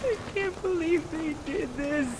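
An actress playing an emotional, vengeful young resistance fighter who cries out rawly in a war video game. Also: female voice, very high voice, a little slow, very noisy. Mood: anguished. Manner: emotional, raw, crying out. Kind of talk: dramatic video game acting.

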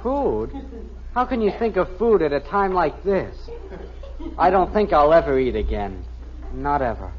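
An American actor playing an earnest, lovestruck fifteen-year-old son in a 1950s radio sitcom, gushing and naive. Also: male voice, a little high, big pitch swings, a little slow. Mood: dreamy, gushing. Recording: muffled old radio broadcast, faint hiss.

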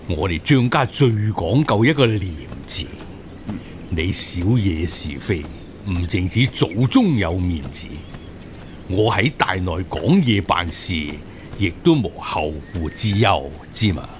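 An actor playing a pompous senior minister who lectures sternly in a radio drama. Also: male voice, low-pitched, animated, slow, slight background noise. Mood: stern, lecturing. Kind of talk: Cantonese radio drama acting.

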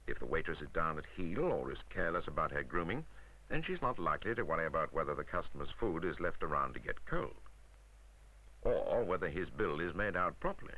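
A British man with a deep voice and very animated intonation narrates a vintage 1960s instructional film. He is wry and emphatic, putting rhetorical points to the listener in a crisp scripted style, with a bright, forceful edge. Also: low-quality recording.

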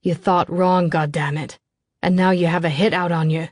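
A fierce, commanding male lover from a romantic thriller audiobook , put on by a woman. He is stern, intense and demanding. The voice is low and dry. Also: fairly even intonation, moderate pace, dry recording, natural voice. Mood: controlled anger.

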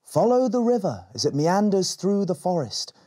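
A man's voice: sits high, sing-song, normal speed, dark tone, voice pushed up. A man voicing a solemn, mystical ancient elf sage from a storybook, commanding and portentous.

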